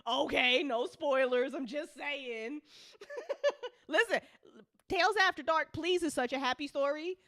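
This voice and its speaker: A woman's voice: high voice, measured pace, bright, forceful tone, dry recording, studio quality. A woman hosting a casual after-show chat in a playful, teasing, emphatic manner with some swearing. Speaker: host and show creator.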